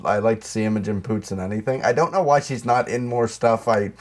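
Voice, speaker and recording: A man's voice: lowish voice, brisk, darkish tone. A man co-hosting a podcast, chatting casually about movies and joking around with riffs. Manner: joking, riffing with mock quotes. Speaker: podcast co-host.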